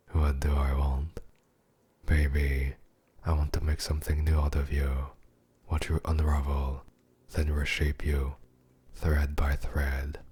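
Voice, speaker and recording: A man playing a possessive, menacing, seductive mafia boss in an ASMR roleplay monologue, with a husky, breathy voice and a condescending, intimate murmur. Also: very deep voice, a little mellow, heavily acted.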